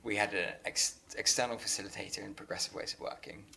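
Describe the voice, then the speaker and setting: A man in a conversational interview, speaking earnestly and haltingly, often repeating words as he works through a thought. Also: fairly low pitch, steady tone, gravelly, bright, forceful tone, slight background noise. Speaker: interviewer and business leader.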